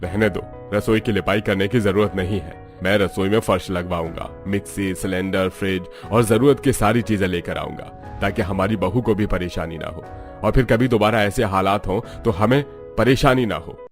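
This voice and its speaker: A man's voice: low voice, moderate pace. A man playing a firm, fatherly elderly head of household in a cartoon. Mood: placating. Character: stern but softening elderly head of household. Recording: background music.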